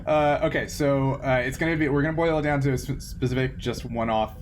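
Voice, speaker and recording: A man's voice: medium pitch, fairly quick, a little hiss or hum. A man running a tabletop game as game master, narrating casually with many fillers. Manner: relaxed, filler-heavy narration.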